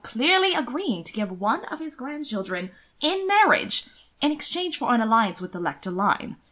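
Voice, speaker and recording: A woman's voice: mid-range voice, a bit bright. A woman voicing a duke's male envoy in a period audiobook, proud yet careful, patient and politely insistent.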